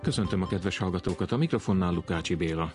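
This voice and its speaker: A man with a husky voice, presenting a radio programme from a script with touches of humour. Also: low-pitched, over loud music. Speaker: radio host. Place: radio studio. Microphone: close studio microphone.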